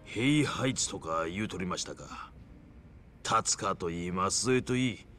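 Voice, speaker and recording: A man's voice: low voice, a little slow, fairly bright tone, soft background music. A man playing a blunt, anxious yakuza with a Hiroshima accent in a video game drama. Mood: exasperated.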